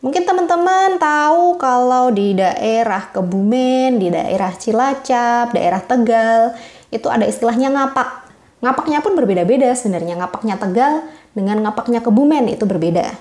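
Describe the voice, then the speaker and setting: A woman tutor working through exam questions, reading the answer options slowly and explaining them patiently to her viewers. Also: on the high side, animated, darkish tone, echoey room.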